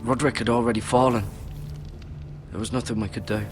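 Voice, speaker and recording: An actor playing a wounded young squire in a fantasy game drama, earnest and grim, speaking in terse phrases. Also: male voice, medium pitch, steady tone, fast, faint music behind, close to an everyday voice. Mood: regretful. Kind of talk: dramatic video game acting.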